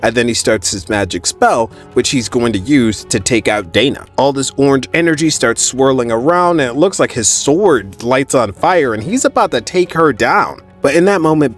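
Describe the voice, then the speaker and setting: A man telling a story in a casual, conversational way, as a narrator recapping a comic book. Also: mid-range voice. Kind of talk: casual animated story recap, quoting characters' dialogue. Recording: music faintly behind the voice. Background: background music.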